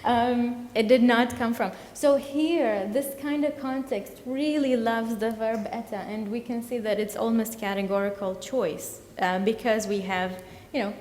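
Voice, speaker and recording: A woman whose first language is Arabic, giving an academic conference talk, halting and full of fillers and self-corrections. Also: on the high side, fairly even intonation, a little mellow. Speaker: conference presenter. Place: lecture hall. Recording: echoey hall with long reverb.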